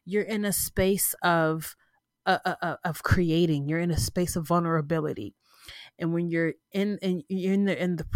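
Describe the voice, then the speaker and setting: A woman's voice: lowish voice, dry recording. Congested woman hosting a casual podcast. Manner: rambling, informal, frequent "right?" asides and self-restarts. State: congested, sniffling. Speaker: podcast host. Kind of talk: casual solo podcast talk. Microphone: close podcast mic.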